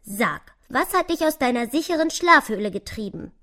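A woman voicing a kindly, protective squirrel in a children's story, speaking emphatically with gentle chiding and care. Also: fairly high pitch, sing-song, normal speed, voice pushed up. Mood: concerned, advising.

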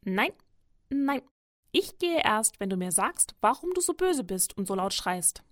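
Woman voicing a high, chirpy, persistent little hare. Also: a little slow. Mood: insistent. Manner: lively, coaxing, inquisitive. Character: cheerful, persistent little hare, eager to help.

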